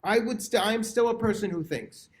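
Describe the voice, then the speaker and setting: A man leading a prayer service, giving a reflective, hesitant sermon full of pauses and restarts. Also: high-pitched. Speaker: service leader.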